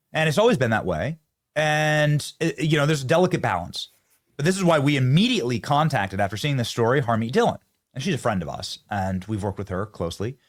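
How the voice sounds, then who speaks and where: A man hosting a political talk show, asking animated, incredulous questions. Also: mid-range voice. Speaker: host.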